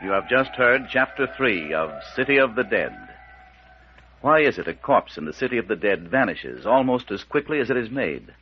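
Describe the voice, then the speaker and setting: A man's voice: medium pitch, sing-song, normal speed, a little hiss or hum. A man announcing an old-time radio serial with suspenseful, theatrical scripted delivery. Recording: dull, band-limited old radio recording.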